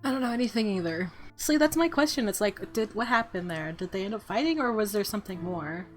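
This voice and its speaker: A woman giving casual, rambling, excitable commentary on a game as she plays. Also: mid-range voice, brisk. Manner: rambling, excitable, laughs often. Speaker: game streamer. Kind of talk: casual playthrough commentary and reading aloud. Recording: music playing behind voice. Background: visual novel game music.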